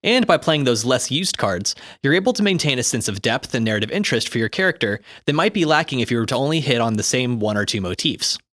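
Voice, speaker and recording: A man delivers scripted, instructive narration at a brisk clip. His voice sits at a medium pitch, and he articulates his explanations in a very dry, close recording. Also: lively intonation, fairly bright tone, dry recording. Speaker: co-host reading game rulebook commentary.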